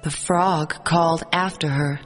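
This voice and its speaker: A woman narrating a children's fairy tale as a storyteller, in a measured storybook reading. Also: sits low, fairly even intonation, in a large hall, faint music behind.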